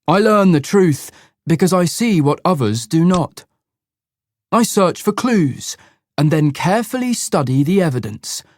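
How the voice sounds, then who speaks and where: A man reading the part of a proud, clever detective slowly and carefully for learners. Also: a little high, expressive, dry recording, clean studio sound. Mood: self-assured. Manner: slow, measured, self-assured.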